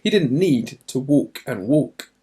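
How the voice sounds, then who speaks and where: Guy reading a kids story out loud, slow n expressive, really animated pitch. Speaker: reader of a children's story. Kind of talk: expressive children's storybook narration.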